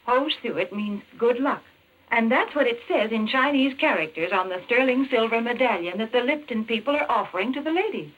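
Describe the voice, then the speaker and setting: Animated high-voiced woman in vintage radio advertising. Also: a little fast. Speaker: radio commercial spokeswoman. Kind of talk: 1940s radio advertising pitch. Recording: tinny, band-limited 1940s radio recording.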